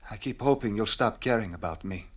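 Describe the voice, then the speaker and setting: A bitter, brooding husband who drinks played by a man in a radio drama. His voice sits fairly low, with a resigned, worn-down manner. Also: lively intonation, a little fast. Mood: bitter pleading. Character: bitter, world-weary, hard-drinking husband Carlo. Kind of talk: radio play acting.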